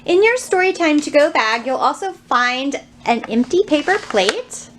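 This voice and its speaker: A woman's voice: a little high, a little hiss or hum. A woman presenting a children's storytime, explaining things simply, asking young listeners questions and reading aloud.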